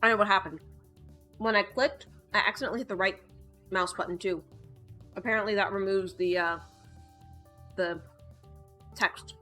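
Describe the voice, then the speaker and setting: A woman with a bright, forward voice narrates a visual novel as she plays it. She reads expressively and drops in casual, wry comments, with faint music behind her. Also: medium pitch, normal speed, pauses often. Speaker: gaming video host. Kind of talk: reading a visual novel aloud with casual asides.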